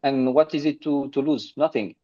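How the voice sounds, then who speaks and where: Earnest French-accented male guest, mellow tone, slightly muffled lo-fi sound. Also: medium pitch. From France. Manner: earnest, halting with restarts. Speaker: guest, agency owner. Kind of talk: casual interview.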